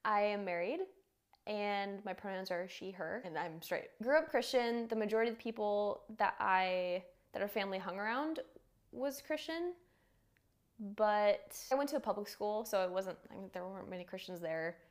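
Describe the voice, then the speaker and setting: A woman's voice: medium pitch, moderate pace. A woman in her twenties introducing herself and talking casually in an interview. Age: 20s.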